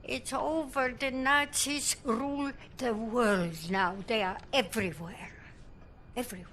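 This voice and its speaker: Slow, resigned Polish woman. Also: on the high side, a bit bright, slight background noise. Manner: halting, simple broken English.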